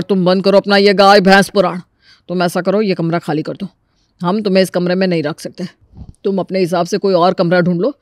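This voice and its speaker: A man's voice: sits high, no room sound, clean studio sound, close to an everyday voice. An actor playing an exasperated landlord, fed up and pleading as he tries to keep the peace in a comic story. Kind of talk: Hindi comic story dramatization.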